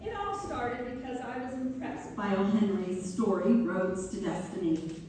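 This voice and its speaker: Woman playing a refined lady looking back on her life, measured stage storytelling, distant and very echoey. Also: mid-range voice, big pitch swings. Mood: fondly amused. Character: Mabel, genteel female pianist turned writer, fondly reminiscing. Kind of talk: theatrical first-person narration, read-through. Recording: distant mic in very echoey hall, faint noise.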